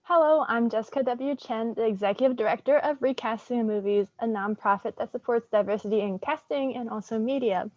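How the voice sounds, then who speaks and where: Higher-pitched woman, dry sound. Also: fairly quick, hardly any pauses, darkish tone, dry recording, muffled recording. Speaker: co-host, nonprofit director. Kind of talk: casual welcome for online show.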